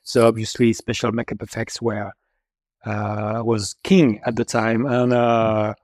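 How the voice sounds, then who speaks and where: A man in his fifties from France with a French accent, a native French speaker, answering interview questions in a halting way with many filler pauses. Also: fairly low pitch, flat delivery, darkish tone, dry recording, clean studio sound. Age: 50s. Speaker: special makeup effects supervisor guest.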